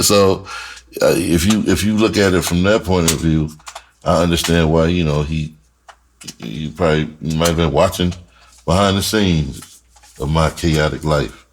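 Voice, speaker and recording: A man's voice: sits low, slight background noise. A middle-aged American man telling stories casually and in slang, in a rambling way that often repeats phrases. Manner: rambling, repeats phrases, chuckles while reminiscing. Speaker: music producer and storyteller. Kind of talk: casual storytelling monologue, slangy and profane.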